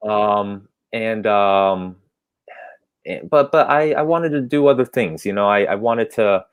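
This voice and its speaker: Male guest, 30s, raised in Hong Kong, Cantonese native; lower voice, halting casual delivery. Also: expressive, clear, resonant tone. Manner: halting, restarts often, laughs between stories. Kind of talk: casual interview chat.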